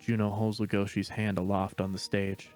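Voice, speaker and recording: A man narrating stage directions in a steady, matter-of-fact reading voice. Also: fairly low pitch, normal speed, soft background music. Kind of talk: plain read-aloud narration.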